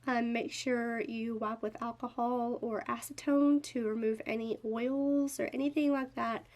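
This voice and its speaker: A woman's voice: on the high side, steady tone, a little slow, a little mellow. A young woman with a nasal, stuffy voice from a head cold, chatting in a casual, upbeat way while she shows nail polish swatches. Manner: chatty and upbeat, lots of fillers. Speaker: nail art vlogger. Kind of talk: casual product review and tutorial.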